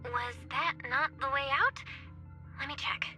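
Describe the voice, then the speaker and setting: A woman's voice: high voice, unhurried, bright, forceful tone, full character voice. An actress playing a practical young woman teammate in a video game, talking in a businesslike, analytical way. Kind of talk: English video game voice acting. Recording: faint music behind.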